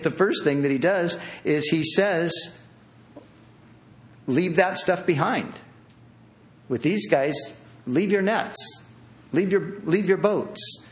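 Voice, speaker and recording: A pastor preaching a sermon to a room of listeners, earnest and pleading, with repeated phrases and restarts. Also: male voice, a little high. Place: church hall with an audience.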